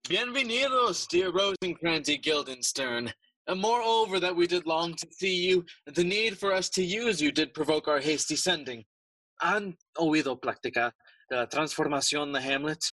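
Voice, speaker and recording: A man's voice: fairly high pitch, normal speed, bright, forceful tone, dry recording, poor audio. An actor playing a courtly, scheming king with a formal, regal manner in a bilingual Shakespearean audio play. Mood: welcoming, purposeful. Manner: formal, regal, switches between Spanish and English.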